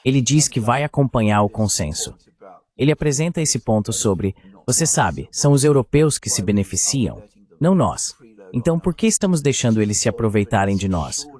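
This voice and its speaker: A man's voice: mid-range voice, big pitch swings, normal speed, darkish tone. A man voicing a translated political discussion, giving critical, opinionated commentary.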